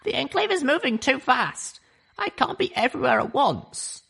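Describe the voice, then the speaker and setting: A man's voice: very high-pitched, big pitch swings, normal speed, vocal fry, pushed and bright, breathy edge, pitched above the performer's own voice, exaggerated voice. A man voicing a young female unicorn pony heroine in a storybook reading, earnest and pressing. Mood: urgent.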